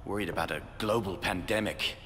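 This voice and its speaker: An actor playing a weary, remorseful middle-aged scientist in a video game, grave in manner. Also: male voice, low voice, brisk, a bit bright. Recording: long reverb, faint ambient noise.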